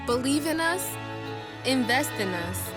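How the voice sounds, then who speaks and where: A woman reading a scripted advertisement with loud music under her voice. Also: high-pitched, animated, a little slow.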